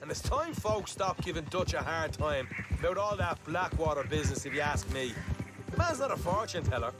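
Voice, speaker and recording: A man's voice: on the high side, lively intonation, brisk, natural voice. A male actor playing a scrappy, boastful Irish gang outlaw in a video game cutscene, chatty and self-justifying. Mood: insistent, aggrieved. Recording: loud music behind.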